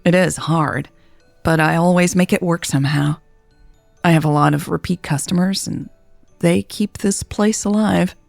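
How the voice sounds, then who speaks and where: A woman's voice: lowish voice, moderate pace, creaky, a little mellow, dry recording, soft background music. A woman voicing a shy, wry female bookshop owner who is easily flustered, in a romance audiobook. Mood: sincere.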